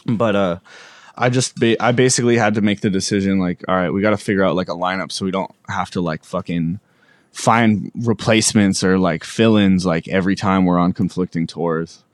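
A young man from Alberta, a musician guest, talking in a relaxed, rambling way full of fillers. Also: deep voice, fairly quick, dry recording, studio quality. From Alberta, Canada. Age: young adult. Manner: laid-back, rambling, with many fillers. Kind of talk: casual interview chat.

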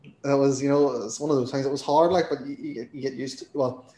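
Northern Irish man, podcast host, mellow warm tone, roomy echo. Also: mid-range voice, steady tone, fairly quick. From Northern Ireland. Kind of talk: casual interview.

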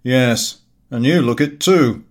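A man voicing a boisterous, tactless, jovial friend in a comic audiobook, loud and bluff in manner. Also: mid-range voice, takes it slowly, close to an everyday voice. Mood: mocking. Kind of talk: audiobook character voice, comic Victorian novel.

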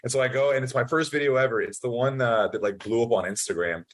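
A young man, born in Italy, telling stories in a casual interview with lots of fillers and acted-out quotes. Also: medium pitch. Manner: fast, animated storytelling full of fillers. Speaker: podcast guest.

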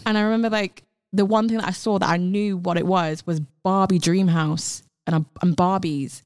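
A woman's voice: on the low side, steady tone, normal speed, a little mellow, clear, resonant tone, dry recording. Chatty young woman, dry sound. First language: Cantonese. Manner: chatty, many fillers and restarts. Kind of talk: casual podcast chat.

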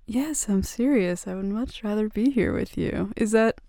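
A woman playing a gentle, touchy, vulnerable bad-girl roommate in an intimate ASMR roleplay, speaking softly and hesitantly into a close mic. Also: mid-range voice, no room sound, close to an everyday voice. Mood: sincere, tender. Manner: soft, hesitant, halting confiding talk. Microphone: close, dry microphone.

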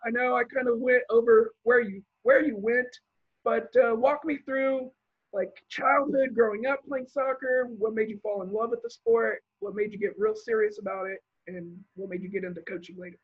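A man's voice: extremely high pitch, normal speed, breathy edge, phone-quality sound. A man hosting a casual podcast interview, introducing his guest and asking loose questions with fillers. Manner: relaxed, reads out guest background with fillers. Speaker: podcast host.

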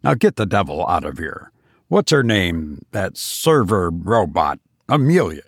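A man's voice: sits low, very animated, measured pace, no room sound, studio quality, voice pushed down, heavily acted. A man voicing an irritable, sleepy father who grumbles at his son to leave him alone. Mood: grumpy. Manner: gruff, grumbling, impatient.

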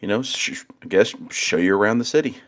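A man's voice: fairly low pitch, animated, brisk, fairly bright tone, voice pushed down. A man doing a laid-back oblivious party guy. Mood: easygoing.

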